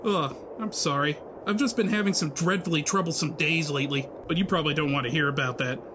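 A woman voicing an unhinged young man who rants and yells profanely in the afterlife. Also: very high voice, very animated, normal speed, background noise. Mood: sheepish. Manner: frantic, profane yelling.

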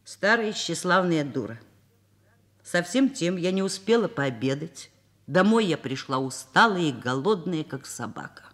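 An actress playing an overworked middle-aged professor and mother in a Soviet radio drama, expressive, wry and self-mocking. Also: lowish voice, a little slow. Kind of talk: Soviet radio drama acting, first-person narration.

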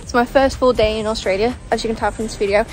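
Young woman in her twenties, travel vlogger, fairly high voice, chatty and tired, phone selfie sound, light music underneath. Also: fast talker, low-quality recording. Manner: chatty and excitable, lots of "like" and "literally". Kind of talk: casual travel vlog to camera. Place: hotel bathroom, then train and outdoor rainforest spots. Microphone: phone camera at arm's length.

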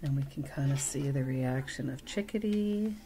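A middle-aged woman reading a picture book aloud as a storytime reader, with chatty asides and expressive, drawn-out emphasis. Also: rumbling low. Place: small room at home.

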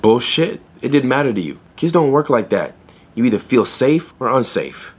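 An actor playing a wry, blunt young pet store clerk in an audio play, deadpan and sardonic. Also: male voice, medium pitch, moderate pace, slightly rough. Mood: firm, frank. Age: young adult. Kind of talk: naturalistic audio play acting.

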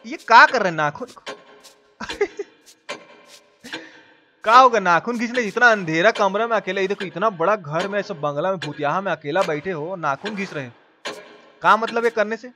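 A man's voice: high voice, moderate pace, long pauses, pushed and bright, faint music behind. A man from India with a Bihari accent gives casual, colloquial live gameplay commentary peppered with swearing. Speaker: game streamer. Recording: clearly noisy background.

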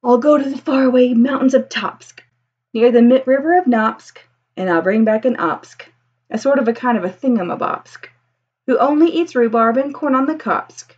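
Woman 30s doing kids read-aloud, highish voice, singsong rhymes, slightly muffled webcam sound. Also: animated, moderate pace, darkish tone, slightly rough. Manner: singsong, rhythmic rhymed delivery. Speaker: teacher reading aloud. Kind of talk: expressive children's picture-book read-aloud. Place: small indoor room with bookshelves.

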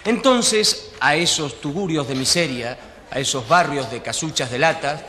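A man's voice: fairly high pitch, lively intonation, normal speed, bright, forceful tone. A man acting a well-informed newspaper journalist who explains things in a conversational radio drama. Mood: informative. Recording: reverberant hall sound.

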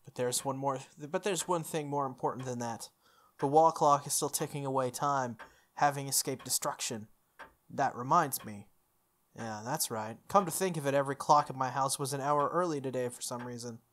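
A young adult streamer reading a visual novel aloud, with expressive delivery and casual, playful asides. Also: medium pitch, moderate pace. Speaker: game streamer. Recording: music playing under the voice. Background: background music.

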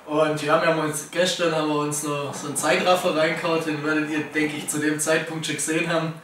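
A man's voice: fairly high pitch, level tone, fast talker, fairly bright tone, slight background noise. A young man chatting casually in a living room, laid-back and a little hungover. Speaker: co-host. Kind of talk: casual chat. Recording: echoey room from a distance.

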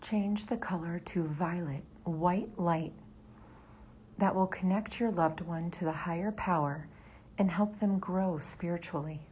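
A woman leading a guided meditation in a soft, breathy near-whisper. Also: on the low side, measured pace, a little hiss or hum.

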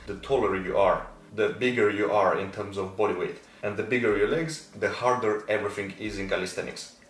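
A man in his twenties with a Moldovan accent, a native Romanian and Russian speaker, talking casually and emphatically to camera as a fitness creator, repeating words for stress. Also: lowish voice. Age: 20s. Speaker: fitness content creator and athlete. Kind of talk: casual explanatory talk to camera. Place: indoor room, decorated for Christmas. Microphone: small lapel microphone. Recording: roomy echo, distant mic, faint noise.

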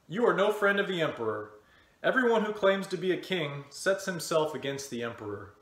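A man's voice: on the high side, pushed and bright. A man in his thirties solemnly reading scripture aloud as a church member, recorded in a room at home. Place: indoor room with bookshelves. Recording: roomy indoor echo.

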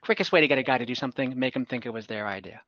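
Guy roleplaying a scheming old-hand adventurer, earnest n ominous, bright voice, dull call audio. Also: medium pitch, rapid-fire, no room sound, natural voice. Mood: confiding. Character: weary scheming adventurer recruiting warriors for a divine war. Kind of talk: in-character tabletop roleplay. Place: room at home, on a group video call.

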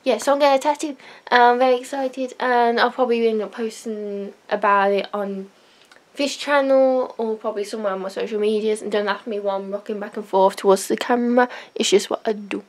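A woman's voice: a little high, fairly even intonation, fairly quick. A teenage girl rambling in a casual, self-mocking vlog, talking into a webcam in her bedroom. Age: teens. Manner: rambling, self-mocking, loud sudden outbursts. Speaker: vlogger. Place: small bedroom, indoors. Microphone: webcam at desk.

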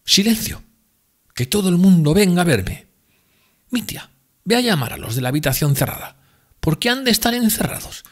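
A man voicing a drunk, impassioned young woman in an audiobook, speaking in a raised, breathy, pleading tone. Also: high-pitched, sing-song, normal speed, pauses often, voice pushed up. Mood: commanding. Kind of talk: audiobook character reading.

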